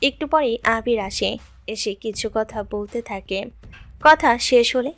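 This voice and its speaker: A woman's voice: on the high side, unhurried, a bit bright. A woman reading scripted narration for an audio story. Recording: background music throughout.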